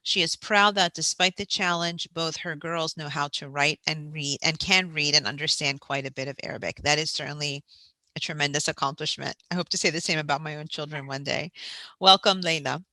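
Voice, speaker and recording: Low-voiced woman hosting an event. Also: fairly even intonation, brisk, pushed and bright, no echo, rough recording. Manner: friendly, informative, reads a prepared bio. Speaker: festival host. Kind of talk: event introduction and announcements.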